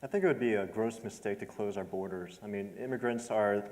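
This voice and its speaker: A man's voice: fairly low pitch, a little fast, a little mellow. A man on a panel stating his view firmly. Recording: hall reverb.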